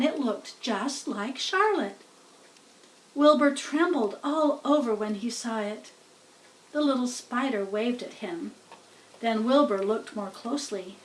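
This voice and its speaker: Female children's book narrator, slow and deliberate, higher-pitched, slightly off the mic. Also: distant mic, rough recording. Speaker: storybook reader.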